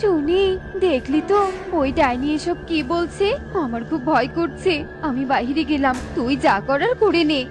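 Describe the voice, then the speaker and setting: A shrill, whiny cartoon bird, voiced by a woman, who is greedy, jealous and quick to run away. Her very high voice wheedles and complains. Bright children's music plays under her. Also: lightly acted. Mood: fearful. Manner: whiny, scheming. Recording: with light background music.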